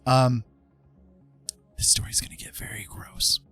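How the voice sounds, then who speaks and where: A man hosting a livestream, casually telling stories in an animated way with drawn-out dramatic phrasing. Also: soft background music. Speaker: livestream host. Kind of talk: casual storytelling. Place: indoor livestream with music behind.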